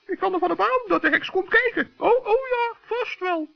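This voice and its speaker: A little forest gnome talks in an extremely high, piping voice. The delivery is childlike, crafty and theatrical. It sounds dull and lo-fi, like a 1960s children's radio play. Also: expressive, moderate pace, vocal fry, soft background music, heavily acted. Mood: crafty, amused. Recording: dull lo-fi old radio recording.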